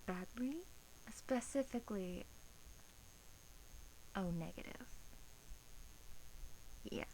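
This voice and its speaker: A woman playing a bored, teasing vampire guard in a soft-spoken ASMR roleplay, coaxing in hesitant, drawn-out phrases with an undertone of menace. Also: medium pitch, rough recording. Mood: coaxing, apologetic. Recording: faint background hiss.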